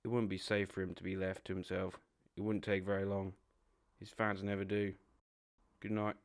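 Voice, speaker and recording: A man's voice: low-pitched, flat delivery, moderate pace, smooth. A man voicing a loyal, practical water rat in a storybook reading, speaking earnestly. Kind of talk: audiobook character voice.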